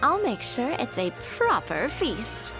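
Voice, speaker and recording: A woman's voice: on the high side, wildly swooping pitch, slow, over music, heavily acted. A woman playing a casual, friendly young woman who knows the local gossip in a video game. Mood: reassuring.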